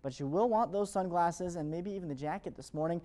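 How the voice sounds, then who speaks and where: A man's voice: sits high, fairly quick, mellow. An American man presenting a morning television weather forecast in an upbeat, fluent presenter's delivery. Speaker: television meteorologist.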